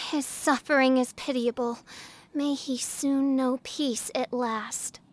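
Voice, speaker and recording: A woman's voice: high voice, steady tone, slow, rough recording. A woman playing a pompous, theatrical teenage girl who poses as a princess in a video game, speaking in archaic, flowery phrases. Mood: mournful, dramatic.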